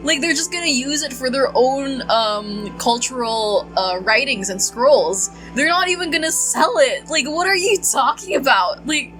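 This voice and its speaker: A woman streamer giving casual, reactive game commentary, halting and repetitive, exasperated and prone to swearing. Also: high voice, expressive, fairly bright tone, soft background music, poor audio. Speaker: gaming streamer.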